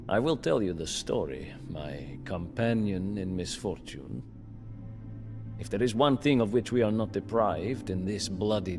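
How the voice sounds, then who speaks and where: A haughty, imprisoned nobleman telling his bitter tale in a game cutscene. He speaks slowly and theatrically, his pitch rising and falling widely. The voice rings with long reverb. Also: full character voice. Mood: bitter, disdainful. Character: bitter, proud imprisoned Lithuanian nobleman recounting his downfall. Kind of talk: dramatic storytelling narration for a strategy game cutscene. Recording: long reverberant hall, music underneath.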